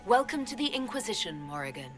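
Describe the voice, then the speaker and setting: An actress playing a poised, courteous heroine who leads an order in a video game, speaking in a measured, polite way. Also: female voice, medium pitch, very animated, faint music behind, natural voice. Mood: calm, courteous. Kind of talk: naturalistic video game acting.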